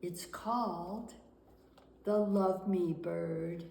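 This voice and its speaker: A woman reading a children's picture book aloud with deliberate, expressive storytelling. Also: lowish voice, gravelly, slight background noise. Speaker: guest storyteller. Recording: roomy, echoing room sound.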